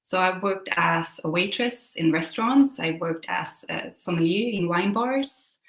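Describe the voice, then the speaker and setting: A young woman from Sweden, a Swedish native speaker, talking hesitantly with many pauses and fillers as a guest on a video call. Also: lowish voice, echoey room, rough recording. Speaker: guest, new translator.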